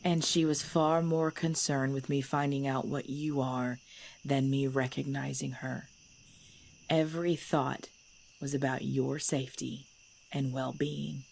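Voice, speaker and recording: Low-voiced, commanding ancient vampire woman played by an actress. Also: little pitch movement, gravelly, a little mellow, exaggerated voice. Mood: calm, assured. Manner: measured, formal, deliberate phrasing. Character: centuries-old female vampire clan leader, composed and commanding. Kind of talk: ASMR audio roleplay acting. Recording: background noise with chirping crickets.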